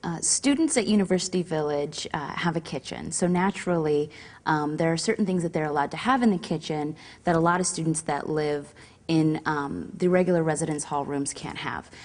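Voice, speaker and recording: A woman with a low voice explains safety guidance like an advisor. Her phrasing is halting and instructional, feeling its way through each point. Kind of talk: semi-scripted instructional explanation.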